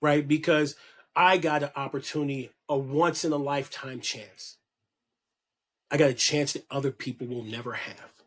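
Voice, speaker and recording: A man's voice: medium pitch, fairly even intonation, moderate pace. A man speaking as a podcast guest, giving an impassioned, preachy monologue that repeats key lines for emphasis. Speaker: guest on a podcast, kink educator.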